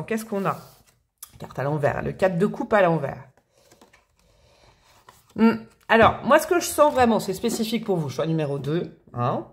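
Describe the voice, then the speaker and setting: A woman doing a casual, chatty tarot reading to camera, with expressive, playful emphasis. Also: medium pitch. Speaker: tarot reader.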